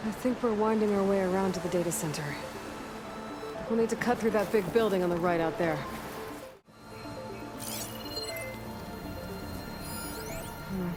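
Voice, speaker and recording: A woman playing a determined, guarded young adventurer in a video game, speaking earnestly in short, halting phrases. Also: lowish voice, lightly acted. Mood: focused. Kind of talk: naturalistic video game voice acting. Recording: game dialogue over background music. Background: music.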